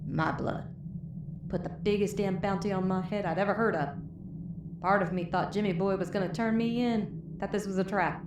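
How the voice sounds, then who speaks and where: A woman's voice: medium pitch, steady tone, moderate pace, highs cut off, close to an everyday voice. A woman playing a tough, bitter, foul-mouthed wasteland moonshiner, wounded and weary, telling her story with a Southern US accent in an audio drama. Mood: wry, weary. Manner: folksy, profane, weary storytelling. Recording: roomy echo, clear background noise.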